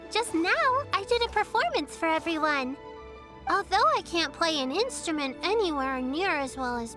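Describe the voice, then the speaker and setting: A woman voicing an excitable, innocent young girl in a video game, chatty and childlike. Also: very high-pitched, heavily acted. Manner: eager, childlike, exclamatory. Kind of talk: video game character acting.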